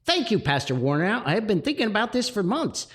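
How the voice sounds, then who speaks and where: A man voicing an excitable, long-winded forty-year-old church committee member in a comic story. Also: high voice, fairly quick, husky, voice pushed up, heavily acted. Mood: excited. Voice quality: whistling speech through gapped teeth.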